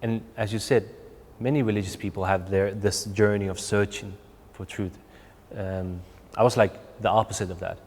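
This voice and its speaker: A young man, his voice on the low side. He answers questions haltingly, full of "like" and "you know", restarting his phrases. The studio gives his voice a long, echoey tail. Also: fairly even intonation, a little mellow. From Sweden. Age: 20s. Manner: hesitant, many fillers like "like" and "you know". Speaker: guest. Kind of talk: interview answers.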